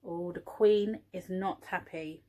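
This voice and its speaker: A woman reading a picture book aloud to young children, expressively, pausing for little asides to the listeners. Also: lowish voice, fairly even intonation, dark tone. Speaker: early years teaching assistant reading a storybook.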